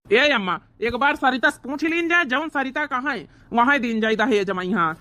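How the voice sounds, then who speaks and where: A man's voice: very high voice, lively intonation, moderate pace, sharp tone, dry recording, exaggerated voice. A man voicing a proud, doting village brother in a cartoon comedy, earnest and boastful, with an Awadhi accent.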